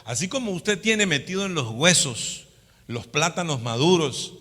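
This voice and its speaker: A man's voice: fairly high pitch, sing-song, measured pace, sharp tone. Latin American male preacher in a reverberant hall. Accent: Latin American Spanish. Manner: didactic, frequently seeking agreement with short tag questions. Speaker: pastor. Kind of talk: sermon, teaching.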